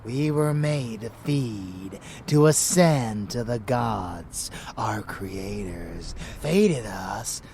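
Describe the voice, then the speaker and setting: Arrogant male vampire lover, ASMR roleplay. Extremely unhurried, theatrical, possessive delivery over clear background noise. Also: lowish voice, expressive, a little mellow, exaggerated voice. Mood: grandiose, intense. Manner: theatrical, teasing, breaking into whispers. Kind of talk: intimate ASMR audio roleplay.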